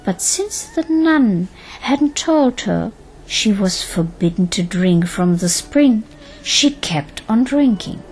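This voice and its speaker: A woman narrating a scripted audio drama in a measured, dramatic storytelling manner. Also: mid-range voice, expressive, a little mellow, husky, poor audio.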